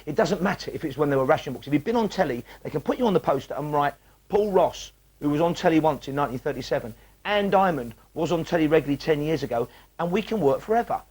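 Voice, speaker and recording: A man's voice: fairly high pitch, lively intonation, quick pace, darkish tone, husky. A British man giving humorous talking-head commentary in a wry, exaggerating way. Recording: VHS transfer with faint music.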